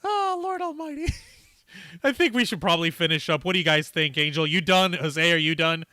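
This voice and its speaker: A man's voice: a little high, expressive, normal speed, pushed and bright, no echo, studio quality. A man hosting a live stream, joking casually in an irreverent, profane way. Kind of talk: casual joking panel chat. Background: music.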